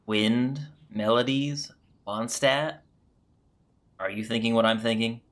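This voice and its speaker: A man's voice: medium pitch, slow, a bit bright. A man giving casual gameplay commentary as a streamer, reading game text and reacting to it.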